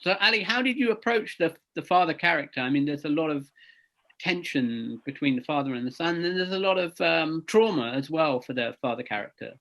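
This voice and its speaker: A man interviews two actors, listening closely and chiming in with short agreements. His voice sits somewhat high and has a gravelly, creaky edge. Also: expressive, brisk, a bit bright. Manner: attentive, frequent short agreements. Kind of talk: interview questions.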